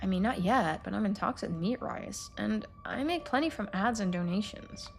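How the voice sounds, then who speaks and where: A woman's voice: mid-range voice, lively intonation, moderate pace, over music, lightly acted. A woman voicing an upbeat, teasing young female YouTuber from a video game, with a playful, chatty, eager manner. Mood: modest, chatty.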